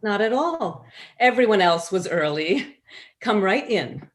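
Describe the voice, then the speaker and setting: A woman's voice: medium pitch, very animated, a little slow. A woman playing a gracious, fussy suburban hostess with genteel manners in a staged play reading. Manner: polite, genteel.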